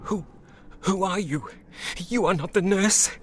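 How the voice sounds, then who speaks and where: A man playing a desperate asylum patient in a video game, craving a drug, begging and wheedling in a halting way. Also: sits high, slight background noise.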